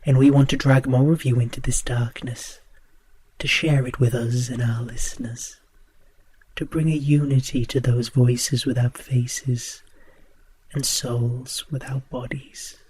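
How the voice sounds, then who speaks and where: Actor as a very slow, sinister male radio host. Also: mid-range voice, little pitch movement, darkish tone, slight background noise, natural voice. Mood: ominous, grandiose. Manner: grandiose, deliberate, formally polite. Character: sinister, courtly male host of a ghostly horror radio show. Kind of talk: theatrical horror radio acting.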